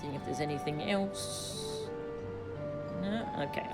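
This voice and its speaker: A woman's voice: low voice, slow pace, over loud music. A woman game streamer chatting casually and thinking aloud in short asides as she plays. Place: live stream of a video game.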